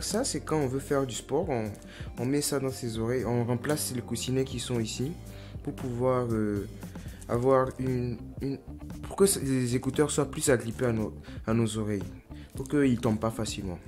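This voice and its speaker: A man's voice: medium pitch, a little mellow. A young man casually unboxing and testing earbuds on his vlog, thinking aloud in a chatty, slangy, self-mocking way. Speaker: YouTuber.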